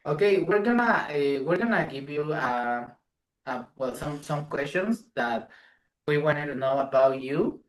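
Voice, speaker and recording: A man's voice: on the high side, lively intonation, measured pace, rough recording. A man of 27, co-hosting a casual interview in a somewhat hesitant way. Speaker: interviewer, co-host.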